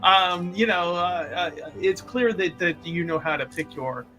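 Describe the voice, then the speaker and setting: A man with a somewhat high voice talks casually as a player in a tabletop roleplaying game. He explains what his character is like, starting phrases over and rewording them as he goes. There is faint music behind him. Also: unhurried, bright, forceful tone, low-quality recording.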